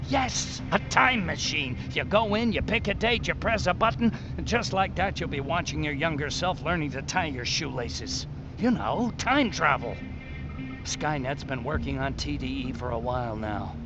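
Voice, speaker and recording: An actor playing an excitable hacker in a video game, explaining things emphatically in technical jargon. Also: male voice, fairly high pitch, normal speed, dull audio, over music, close to an everyday voice. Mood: excited. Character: excitable male tech expert and hacker resistance ally. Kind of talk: video game cutscene acting.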